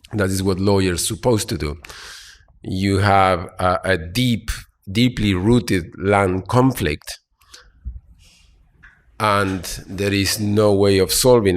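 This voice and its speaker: A man from Argentina, speaking as a panelist with many fillers and restarts. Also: lowish voice. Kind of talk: panel talk. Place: conference hall.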